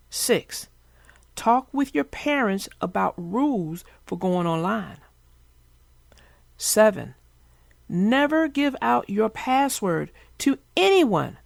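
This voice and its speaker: Expressive, high-pitched woman storyteller. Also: gravelly, dry recording, clean studio sound. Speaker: children's storybook narrator and host. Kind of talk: read-aloud storytelling and explaining to children.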